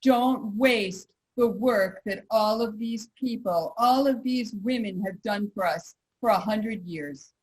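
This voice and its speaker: Husky lady giving slogan type appeal, kinda slow. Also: medium pitch, low-quality recording.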